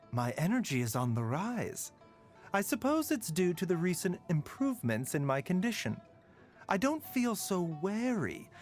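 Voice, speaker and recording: An actor playing a gentle, caring doctor in a game, soft-spoken and measured, with frequent sighs. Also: male voice, high voice. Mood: warm. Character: gentle, caring male physician, middle-aged. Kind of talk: game character voice lines. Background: faint music.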